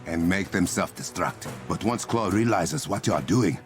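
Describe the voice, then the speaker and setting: A noble warrior king from a video game, with a very low voice and an African accent. His intonation moves widely and commands, over loud music. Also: lively intonation, normal speed. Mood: resolute, commanding. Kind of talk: video game cutscene acting.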